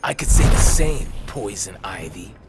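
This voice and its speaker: A brash young male game superhero, talks trash in a gravelly-edged voice that swings up and down in pitch. Loud music plays under his cocky quips. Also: expressive, a little slow. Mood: taunting. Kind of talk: video game fight banter.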